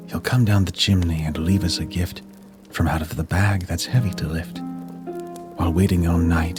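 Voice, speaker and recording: Man reading as hopeful child, low-pitched. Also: lightly acted. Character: earnest, hopeful child dreaming of Santa.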